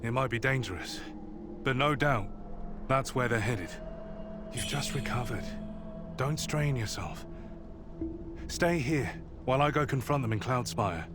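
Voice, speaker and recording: An earnest, determined comrade in a game cutscene, played by a man. He speaks at a middle pitch with serious concern and a protective, wary tone. Music plays clearly behind him. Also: lively intonation, measured pace, pauses often, natural voice. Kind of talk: dramatic video game cutscene acting.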